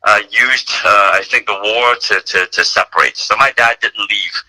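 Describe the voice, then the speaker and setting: Man, fifties, from Vietnam, radio guest, low voice, very bright tone, earnest storytelling. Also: fairly even intonation, normal speed, low-quality recording. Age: 50s. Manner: earnest, conversational, many fillers. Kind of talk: personal storytelling on talk radio. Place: radio studio.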